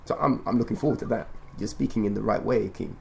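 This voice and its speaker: A man hosting a podcast interview in a measured, instructive way, rephrasing his points and prompting his guest as he talks. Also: on the low side, vocal fry, darkish tone, slight background noise. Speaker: radio show and podcast host. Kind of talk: conversational interview, explaining and prompting.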